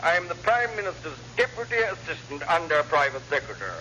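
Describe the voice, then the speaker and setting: An actor playing a dithering, scatterbrained government secretary with a chirpy, plummy upper-class English voice, in a classic radio sitcom. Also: male voice, on the high side, lively intonation, fast, close to an everyday voice. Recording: muffled, hissy old radio recording.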